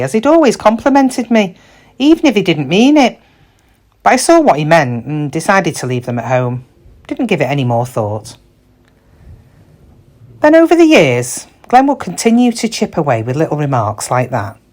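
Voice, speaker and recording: Wistful middle-aged shop cashier played by an actress, confiding. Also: female voice, medium pitch, darkish tone. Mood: hurt, reflective. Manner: reflective, confiding, plain-spoken. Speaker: actor in a monologue. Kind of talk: first-person dramatic monologue.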